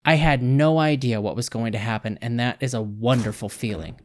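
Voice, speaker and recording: A man's voice: medium pitch, brisk. A man reading a scripted video game review as a voice-over narration. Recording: music behind the voice. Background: background music.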